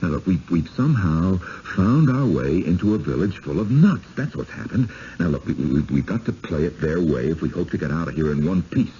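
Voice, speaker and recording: An actor playing a skeptical, wary husband in 1970s radio drama style, speaking in an urgent, halting but controlled way. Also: male voice, low-pitched, very animated, mellow, husky, full character voice. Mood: urgent, conspiratorial. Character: skeptical, wary husband trapped in sinister village. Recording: dull, hissy old radio broadcast.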